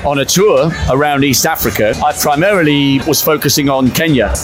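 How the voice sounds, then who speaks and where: A man talking warmly and at length in an interview, full of enthusiasm, often restarting his words. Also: on the high side, moderate pace, over music. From Britain. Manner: enthusiastic, fond, rambling with repeated restarts. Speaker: interview guest. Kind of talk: animated interview talk.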